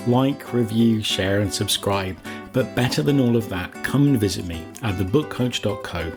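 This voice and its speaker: Low-pitched man giving a scripted lesson. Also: normal speed, music in the background. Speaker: writing coach and host. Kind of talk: scripted instructional narration.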